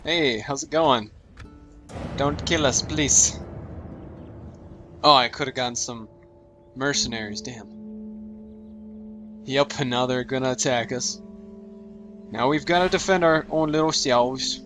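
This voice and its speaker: A man casually commenting on a strategy game he is playing, joking and offhand, his voice slightly hoarse. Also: mid-range voice, expressive, slow, long pauses, fairly bright tone, soft background music. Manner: joking, offhand, talks to himself while playing. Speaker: gaming channel host. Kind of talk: casual let's play commentary.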